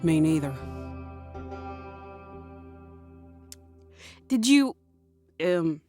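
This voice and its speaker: A woman playing a steely widowed outlaw fresh from prison in a scripted audio drama, deliberate and probing. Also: sits low, big pitch swings, dark tone. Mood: hesitant, vulnerable. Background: music.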